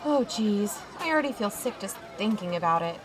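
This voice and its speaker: A woman's voice: mid-range voice, expressive, a little fast, noisy background, lightly acted. A woman playing an uneasy, wry adult chaperone who narrates her own account in a confiding way for an audio drama. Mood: wry, nostalgic. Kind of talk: first-person scripted narration.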